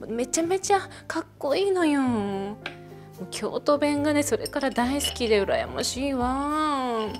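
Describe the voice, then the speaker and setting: A gushing bar hostess chats with her customer in a high, sing-song voice, played by a woman. She talks in a soft, feminine way that rises and falls a great deal. Faint music plays behind her. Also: big pitch swings, measured pace. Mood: chatty. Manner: chatty, gushing, feminine sentence endings. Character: chatty middle-aged woman running a snack bar. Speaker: bar hostess in a role-play. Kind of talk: one-sided role-play with an unseen customer.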